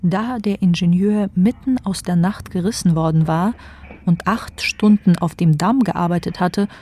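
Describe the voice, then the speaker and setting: A woman's voice: fairly low pitch, unhurried, warm tone. A woman narrating a story in a dramatic, scripted radio play style.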